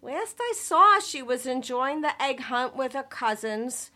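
A woman's voice: high voice, lively intonation, a little slow, bright, forceful tone, exaggerated voice. A woman playing a sensible, worrying wife and mother in a comedy audio drama, keeping the peace in a firm, conversational way. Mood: matter-of-fact.

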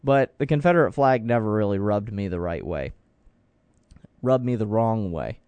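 A man's voice: on the low side, lively intonation, normal speed, darkish tone, clean tone, no room sound, muffled recording. Earnest opinionated man hosting talk radio. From Florida. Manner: earnest, opinionated, with frequent restarts. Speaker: radio talk show host. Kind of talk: morning call-in talk radio. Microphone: studio microphone.

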